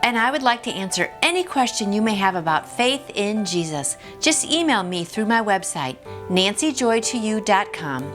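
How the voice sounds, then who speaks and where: A woman's voice: mid-range voice, very animated, moderate pace. A woman reading a devotional and adding informal, encouraging commentary, speaking directly to the listener with little rhetorical questions. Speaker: host of a daily devotional, reader. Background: background music.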